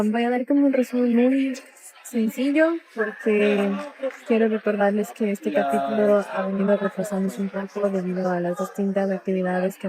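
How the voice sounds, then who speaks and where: A woman's voice: medium pitch, darkish tone, low-quality recording. Young ecuadorian woman hosting a podcast, explains things informally, stops and searches for words a lot. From Otavalo, northern Ecuador. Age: young adult. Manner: halting, searching for words, breaking off mid-phrase. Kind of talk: informal spoken account to listeners.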